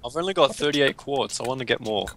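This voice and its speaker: A man's voice: mid-range voice, animated, fast talker, fairly bright tone, slight background noise. A teenage boy with an Australian accent, joking around in casual chat while playing a game with friends over voice chat. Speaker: player.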